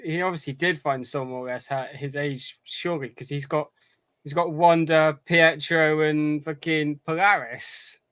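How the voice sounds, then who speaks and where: A man co-hosting a podcast in casual chat, thinking aloud haltingly and repeating his words. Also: fairly high pitch, steady tone, a bit bright, clear, resonant tone, no echo. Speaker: podcast co-host.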